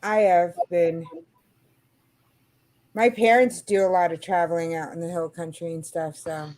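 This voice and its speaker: A woman's voice: fairly low pitch, fairly even intonation, measured pace, darkish tone. A woman from New York with an American accent, chatting casually in a group. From New York, United States.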